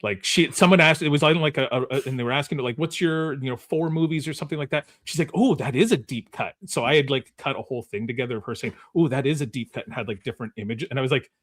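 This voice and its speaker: A man hosting a podcast in a casual, chatty way, haltingly working his way into questions and repeating words. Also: medium pitch, a bit bright, dry recording, clean studio sound. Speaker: podcast host. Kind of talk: casual interview chat.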